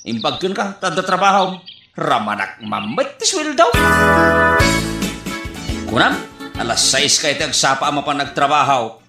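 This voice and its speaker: An actor playing a nosy, whiny comic neighbour in an Ilocano radio comedy drama, prying and exaggerated, with an Ilocano accent. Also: male voice, on the high side, big pitch swings, a little slow, pauses often. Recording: echoey, with music behind.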